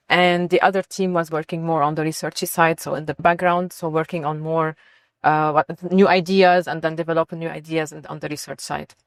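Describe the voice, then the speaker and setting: A woman's voice: on the low side, dry recording, clean studio sound. A middle-aged woman from Lebanon giving conversational, enthusiastic answers as an interview guest on research work.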